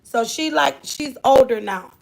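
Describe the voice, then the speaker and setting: Gravelly high-voiced Southern woman streamer. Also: a little slow, low-quality recording. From Florida. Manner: chatty, drawling, scolds her kids off-mic. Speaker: livestream host. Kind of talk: casual live chat.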